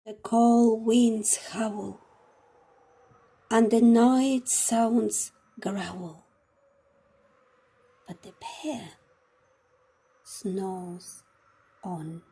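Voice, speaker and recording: A woman reading a children's storybook aloud in an expressive, dramatic, deliberate storytime style. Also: a little high, husky. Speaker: storybook reader.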